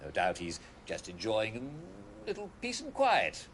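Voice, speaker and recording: Giddy British broadcast host, swooping pitch. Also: male voice, wildly swooping pitch, measured pace, slight background noise, exaggerated voice. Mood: giddy, cheerful. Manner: chuckling, hesitant, theatrically jolly, stammering. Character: giddy, chirpy male broadcast host with forced cheer. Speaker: broadcast presenter. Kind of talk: retro British broadcast announcer acting.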